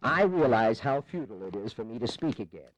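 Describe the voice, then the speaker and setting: A man plays a weary dissenter in a colonial congress drama. His medium-pitched voice is grave, formal and resigned, pleading in measured oratory. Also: sing-song, lo-fi sound, close to an everyday voice. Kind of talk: historical audio drama acting.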